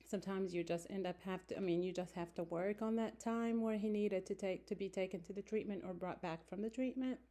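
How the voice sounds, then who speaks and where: Woman, 40s, from Morocco, warm dark tone, unhurried, fillers and restarts, small room. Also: mid-range voice. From Morocco, raised in France. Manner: unhurried, many fillers and self-corrections. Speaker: student introducing herself. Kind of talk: casual self-introduction to a class. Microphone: phone held close.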